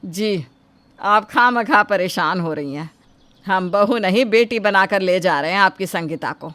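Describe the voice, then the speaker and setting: A woman's voice: mid-range voice, measured pace, a bit bright, dry recording, natural voice. A woman playing an indignant, suspicious parent of a groom, exclaiming and scolding in a story drama. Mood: placating.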